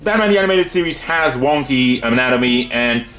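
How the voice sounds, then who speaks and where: A man's voice: mid-range voice, expressive, brisk, fairly bright tone. Male collectibles reviewer, mid-range voice, off-the-cuff and conversational, picks at small details, music underneath. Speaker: toy figure reviewer. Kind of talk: casual unscripted product review. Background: background music.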